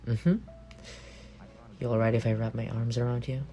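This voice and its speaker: A man playing an affectionate, teasing young roommate secretly in love, in an intimate ASMR roleplay with a soft, creaky voice. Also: mid-range voice, level tone, moderate pace, soft background music, natural voice. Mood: fond, soothing. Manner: playful teasing murmur with soft chuckles.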